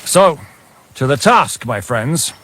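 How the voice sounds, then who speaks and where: An actor playing a wry, battle-hardened mercenary captain in a game, speaking in a measured, world-weary way. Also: male voice, medium pitch, big pitch swings, fairly bright tone, full character voice. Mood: commanding. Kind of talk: dramatic game dialogue.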